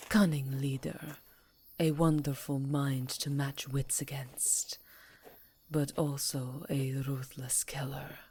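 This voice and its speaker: A woman voicing a haughty, elegant vampire countess in a hushed, theatrical ASMR roleplay. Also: low voice, slight background noise. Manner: hushed, breathy, theatrical, slow and deliberate.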